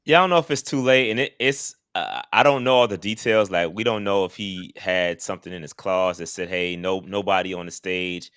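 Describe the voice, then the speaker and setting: A man's voice: low voice, brisk, few pauses, a bit bright, clear, resonant tone, dry recording, clean studio sound. A man co-hosting a podcast, talking in an easygoing, slangy way. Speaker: podcast co-host. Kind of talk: casual podcast chat.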